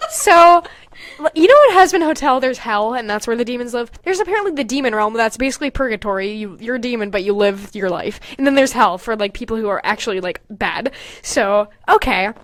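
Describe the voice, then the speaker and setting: A woman's voice: on the high side, lively intonation, a little fast, dry recording. A young woman podcast host casually reading aloud with comedic commentary, swearing freely and mocking her own material in exasperated asides.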